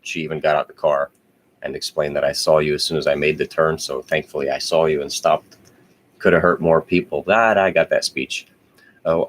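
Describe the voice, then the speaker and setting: Brief: very low-voiced guy, rambling casual storyteller, lots of "uh"s and restarts. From New Jersey. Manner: rambling, digressive, with many fillers and self-corrections. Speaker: podcast host.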